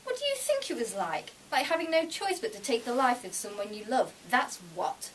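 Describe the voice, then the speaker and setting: A woman's voice: on the high side, big pitch swings, normal speed, fairly bright tone, recorded from a distance, voice pushed up. Death as an easygoing, plain-spoken boyfriend for a short story, female reader, heard from off-mic in a noisy room. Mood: guarded. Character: laid-back man who is Death, patient, matter-of-fact.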